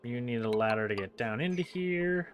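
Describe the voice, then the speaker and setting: A man's voice: medium pitch, expressive, normal speed. A man giving casual gameplay commentary, playfully thinking aloud and breaking into exclamations. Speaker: gaming video host.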